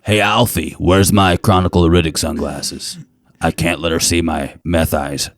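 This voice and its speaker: A man co-hosting a podcast in casual reaction chat, with a deep voice, joking and sarcastic, swearing freely. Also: fairly even intonation, normal speed, no room sound, studio quality. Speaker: podcast co-host.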